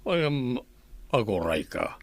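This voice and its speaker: Animated middle-aged village father played by a man. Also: medium pitch, slow, dry recording. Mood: teasing, reasoning. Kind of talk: radio drama acting.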